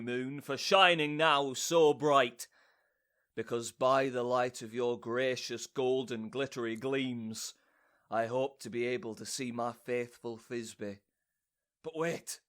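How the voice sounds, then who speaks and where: An actor playing a hammy amateur who declaims as a tragic lover, overwrought and melodramatic, in a comic audio drama. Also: male voice, mid-range voice, slow pace, a bit bright. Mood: adoring. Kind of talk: modernized Shakespeare audio drama comedy.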